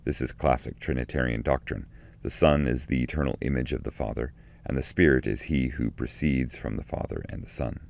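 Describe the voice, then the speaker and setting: A man reading scripted literary commentary and poetry in a measured, solemn, deliberate way. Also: very deep voice, fairly even intonation, vocal fry, no echo. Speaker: narrator and translator reading his edition.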